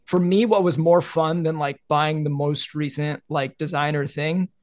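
A man's voice: on the high side, steady tone, unhurried, darkish tone, no echo, studio quality. A young man chatting casually as a guest, rambling with many "like"s. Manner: rambling, heavy use of "like". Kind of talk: casual conversation.

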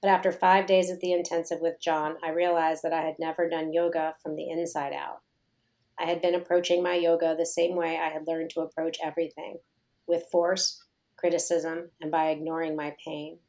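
A middle-aged woman, a yoga teacher, reading her own personal essay aloud in a slow, measured, reflective way. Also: low-pitched, darkish tone.

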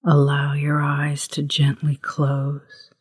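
A woman leading a guided meditation as host, with a hushed, breathy voice and gentle, unhurried instructions. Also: sits low, monotone, dry recording, clean studio sound. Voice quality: breathy, husky, often near whisper.

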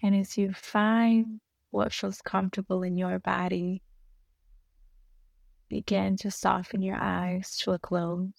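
A woman guiding a meditation in a soothing, gentle manner, giving unhurried instructions with long pauses between short phrases. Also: mid-range voice, level tone, gravelly, no echo, studio quality. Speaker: meditation guide. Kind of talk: guided meditation narration.

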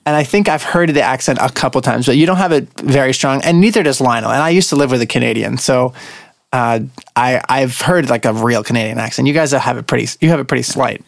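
A man's voice: medium pitch, quick pace, vocal fry, dry recording, clean studio sound. A man hosting a casual podcast, reading listener questions and chatting with easy laughter. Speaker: podcast host.

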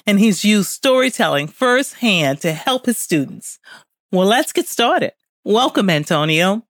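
A woman's voice: mid-range voice, big pitch swings, a little slow, dry recording, clean studio sound. A woman hosting an interview, reading a scripted introduction of her guest and then asking him questions. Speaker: host.

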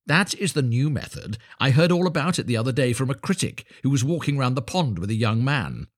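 A man's voice: medium pitch, animated, a little fast, voice pushed up, close to an everyday voice. A man voicing a self-important, opinionated water rat in a storybook, pompous and know-it-all. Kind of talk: storybook animal voice.

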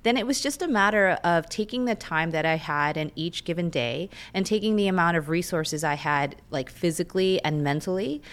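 A woman's voice: on the low side, smooth. A woman in her thirties whose first language is Korean, giving candid, chatty interview answers with frequent fillers. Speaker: guest, lawyer and runner.

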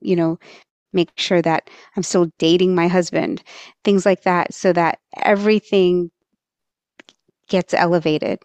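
A woman's voice: on the low side, fairly even intonation, measured pace, a little mellow, clean tone, dry recording. A woman from Texas, a podcast guest, giving earnest and thoughtful advice in a conversational way, with frequent fillers. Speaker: interview guest.

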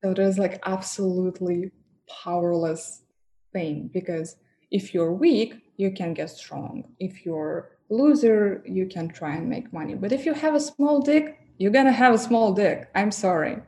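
A woman's voice: mid-range voice, a little slow, mellow. A woman with a Russian accent, a guest talking frankly and with lots of laughter about her work, her first language Russian. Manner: frank, laughs often, mock-degrading asides. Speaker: guest, professional dominatrix. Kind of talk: candid interview chat.

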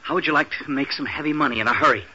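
An actor playing a shady, desperate assistant pushing a deal in a vintage radio drama. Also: male voice, fairly high pitch, very fast, pushed and bright, a little hiss or hum. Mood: urgent, furtive. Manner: urgent, pushy. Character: shady, pushy, desperate male bookkeeper's assistant. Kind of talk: 1940s radio drama acting. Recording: muffled old radio recording.

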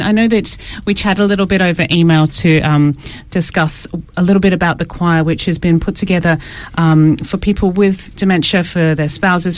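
A woman radio host chatting casually and live in a studio, with a self-deprecating, informal manner. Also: on the low side. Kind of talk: casual live interview chat. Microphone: studio microphone.

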